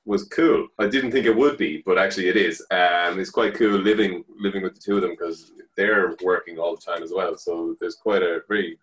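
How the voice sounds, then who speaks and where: A man's voice: deep voice, moderate pace, hardly any pauses, fairly bright tone, poor audio. A man, a knife maker chatting casually on a panel over a video call with earphones from home. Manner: casual, laid-back, chatty. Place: home room. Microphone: earphone headset on video call.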